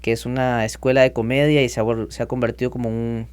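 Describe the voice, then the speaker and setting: A man in his thirties from Costa Rica, telling his story as a podcast guest in a lively, chatty way. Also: mid-range voice, quick pace, clean tone. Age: 30s. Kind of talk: casual interview talk.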